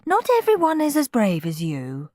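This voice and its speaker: A woman voicing a firm mother who gently scolds her children in a storybook reading. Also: medium pitch, huge pitch swings, normal speed, no room sound, studio quality, voice pushed up. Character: firm, tidy-minded mother.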